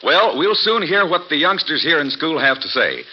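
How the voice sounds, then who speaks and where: Male announcer, vintage radio: animated pitch, showy delivery, dull noisy sound. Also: normal speed. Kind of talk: old-time radio sponsor announcing.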